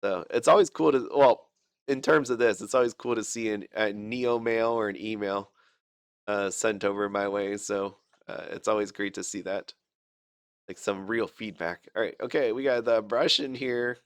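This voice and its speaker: A man's voice: fairly low pitch, moderate pace, clean tone, dry recording, studio quality. A sick man rambling through casual gameplay commentary, chatty and self-deprecating, with lots of fillers and restarts. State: sick, fighting a cough. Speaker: gaming channel host.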